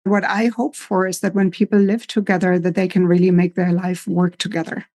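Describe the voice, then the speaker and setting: A woman from Germany with a German accent, a psychologist speaking thoughtfully and warmly laughing now and then in an interview. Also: fairly low pitch, flat delivery. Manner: thoughtful, measured, laughs easily. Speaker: psychologist, associate professor. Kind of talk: conversational interview.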